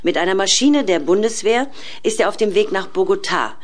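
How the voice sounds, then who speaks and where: Actress as a measured female news anchor. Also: mid-range voice, expressive, natural voice. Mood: composed, formal. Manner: measured, formal. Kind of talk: formal newsreading in a radio play. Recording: faint background noise.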